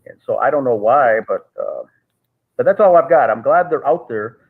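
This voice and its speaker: A man, a guest on a call, recounting events in a measured, halting way with frequent restarts. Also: medium pitch, expressive, creaky, husky. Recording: tinny phone-like call audio.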